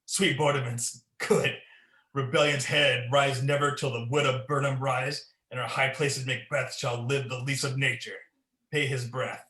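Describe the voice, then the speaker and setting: A man's voice: medium pitch, steady tone, a little slow, pushed and bright. A young man playing an ambitious, guilt-ridden king in a Shakespearean radio play, declaiming in a commanding, theatrical way. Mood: confident, scheming. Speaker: student performer. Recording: echoey room.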